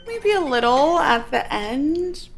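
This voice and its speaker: A woman's voice: high-pitched, expressive, takes it slowly, creaky, faint music behind, full character voice. A woman playing a menacing, gloating male outlaw gang boss in a western radio play, with taunting, theatrical delivery.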